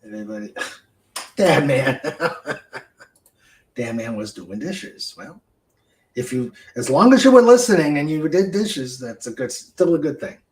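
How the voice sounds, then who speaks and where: A man hosting a casual livestream chat, speaking haltingly with many fillers. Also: a little high, very animated, vocal fry, a little mellow. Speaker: host.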